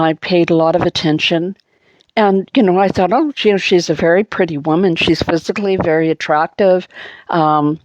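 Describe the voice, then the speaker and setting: A middle-aged woman with an Anglophone Montreal accent, giving a halting personal monologue full of fillers and restarts. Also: lowish voice, dry recording, poor audio. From Montreal, Canada. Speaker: vlogger.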